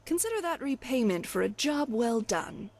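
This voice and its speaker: A woman's voice: a little high, big pitch swings, moderate pace, a little mellow. A woman playing a glib, evasive thief companion in a fantasy video game, talking in a wry, coy way. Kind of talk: scripted video game dialogue.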